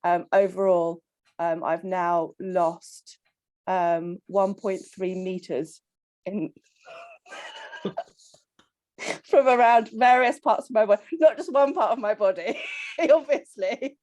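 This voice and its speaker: A woman on a casual coaching call, croaky from illness, chatting with frequent ums and laughs. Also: mid-range voice, slow, long pauses, a little mellow, dry recording. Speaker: coaching client.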